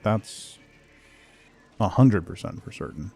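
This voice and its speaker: A man's voice: fairly low pitch, expressive, slow pace, gravelly, mellow, slightly rough, soft background music. A man doing casual solo commentary on a gaming stream, muttering to himself with playful asides. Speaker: game streamer.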